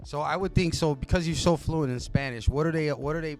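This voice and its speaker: A man's voice: fairly high pitch, brisk, darkish tone, soft background music. A man hosting a casual studio interview, upbeat and slangy like a hype man. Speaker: host.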